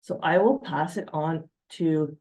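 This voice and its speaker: Mujer que presenta con voz cálida y grave. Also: female voice, low-pitched, unhurried, a little mellow, rough recording. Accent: Canadian. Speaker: physician presenter. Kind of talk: educational slide presentation.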